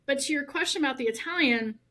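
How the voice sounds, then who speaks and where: A middle-aged woman, a law professor guesting on a podcast, telling animated stories and laughing often. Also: high voice. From United States. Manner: animated storyteller, laughs often, fast and chatty. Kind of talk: casual interview chat.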